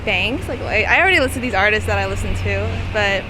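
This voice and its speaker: A woman's voice: mid-range voice, lively intonation, a little fast. A woman in her twenties, a music producer from Los Angeles, chatting casually in an outdoor interview with lots of "like" fillers. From Los Angeles, California. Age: 20s. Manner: chatty, filler-heavy, giggly. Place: outdoors on a city street. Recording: loud street traffic noise. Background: passing traffic.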